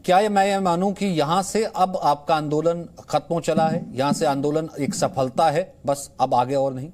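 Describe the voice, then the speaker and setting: A man's voice: fairly high pitch, fairly even intonation, normal speed, a little mellow, slight background noise. A man moderating a televised political debate as anchor, pressing his guests with questions and cutting in.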